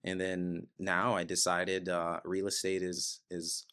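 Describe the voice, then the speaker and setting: A man in his thirties from Guyana, a realtor guest telling his story in a casual podcast chat, upbeat and energetic with frequent laughs. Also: sits low, slow pace, clean tone. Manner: upbeat and energetic, laughs often, loose rambling phrasing.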